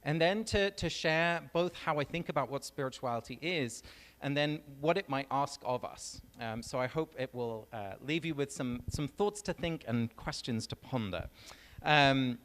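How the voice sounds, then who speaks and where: A man with an English accent giving a personal talk on stage, relaxed and storytelling, with frequent ums. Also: mid-range voice, moderate pace. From England. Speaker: guest speaker. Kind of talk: public talk. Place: large venue on stage. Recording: reverberant hall.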